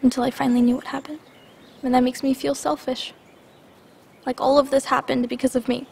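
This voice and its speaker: A high, slightly even-toned girl's voice with no creak in it. She is vulnerable, grieving and hesitant, confiding her guilt in a game drama. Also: brisk, heavily acted. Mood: guilty, upset. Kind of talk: dramatic video game acting.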